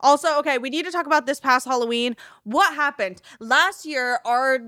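A woman's voice: sits high, moderate pace, pushed and bright, dry recording, clean studio sound. A young adult woman co-hosting a podcast in casual comic banter, slangy and emphatic in her delivery. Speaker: podcast co-host.

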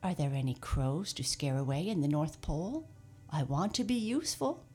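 A woman's voice: deep voice, animated, moderate pace, gravelly, soft background music, full character voice. A woman voicing a forlorn, timid young male scarecrow who talks in anxious, tearful pleas.

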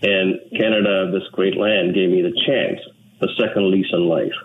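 A man from Sri Lanka, an English speaker, talking in an earnest, measured way as an interview guest. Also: low voice, little pitch movement. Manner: measured, earnest, with frequent restarts. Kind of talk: reflective interview talk. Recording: tinny phone line with faint noise.

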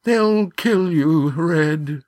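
A man puts on a frightened sailor boy for an audiobook. The voice is pushed well up in pitch, trembling and close to sobbing. He stammers and pleads like a guilty child hiding something. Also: very slow, darkish tone, no echo. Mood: sobbing, pleading. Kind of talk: audiobook character acting.

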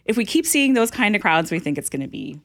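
A woman's voice: mid-range voice, big pitch swings, fast, vocal fry. A woman guest on a radio show, friendly and laughing easily, talking with many fillers. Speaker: festival organiser guest.

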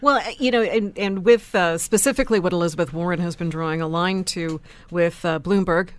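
A woman with a lower voice than average, speaking emphatically and articulately as a panelist on a radio talk show. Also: fairly quick, dry recording. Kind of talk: opinionated panel discussion.

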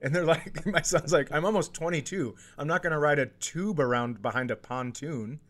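A man's voice: fairly high pitch, normal speed. A middle-aged man co-hosting a podcast, chatting casually and telling stories with comic exaggeration. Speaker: podcast co-host. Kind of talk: casual comedic chat.

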